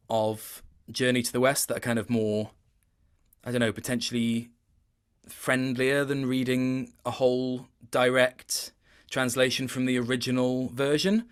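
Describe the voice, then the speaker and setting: A man's voice: medium pitch, smooth. A man speaking casually as an interviewed voice actor, thoughtful and hesitant, often circling back to rephrase what he is saying.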